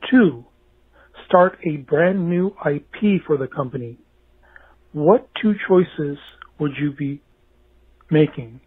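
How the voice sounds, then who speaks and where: A man's voice: fairly high pitch, lively intonation, slow pace, pauses often, breathy edge. A man leaving a friendly voicemail question as a caller, laying out his points in order. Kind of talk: voicemail message. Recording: tinny phone voicemail line.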